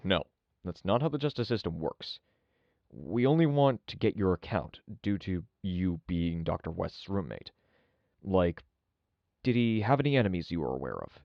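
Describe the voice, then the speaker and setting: A man playing a businesslike police officer questioning someone in an official, brisk way in an audio drama. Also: low voice, sing-song, creaky, dry recording, full character voice. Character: businesslike male missing-persons police officer. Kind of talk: audio drama interrogation dialogue. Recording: dry, dull close recording.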